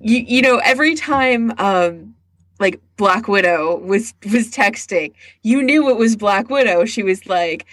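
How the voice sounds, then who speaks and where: A woman podcast co-host talks in a chatty, informal way with a giggly edge. Her pitch is average for a woman, and the recording is close and dry. She sounds like she is swapping recommendations in casual conversation. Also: animated, measured pace, no echo. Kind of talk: casual chat recommending picks.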